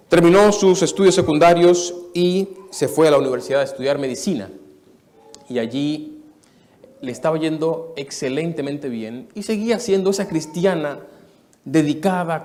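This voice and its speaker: Dominican-born preacher guy telling a story in church, earnest, a bit high voice. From Dominican Republic. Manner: earnest storytelling, shifts from soft to emphatic. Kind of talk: sermon testimony.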